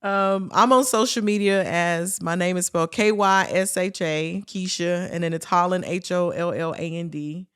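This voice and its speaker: A woman's voice: fairly low pitch, steady tone, moderate pace, hardly any pauses, a bit bright, clean tone. A retired grandmother chatting easily as a podcast guest, laughing often as she talks. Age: older. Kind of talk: casual podcast chat.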